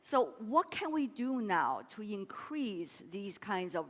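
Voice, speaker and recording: A woman moderates a panel in a lively, encouraging way. Her pitch moves a great deal from phrase to phrase. The voice rings in a large hall with long reverb. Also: slow pace. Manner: lively, encouraging, frequent affirming hums.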